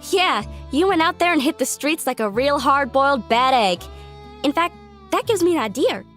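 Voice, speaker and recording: A woman voicing a brash, excitable young country gal with a folksy Southern US accent in a cartoon. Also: high-pitched, moderate pace, faint music behind. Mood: eager.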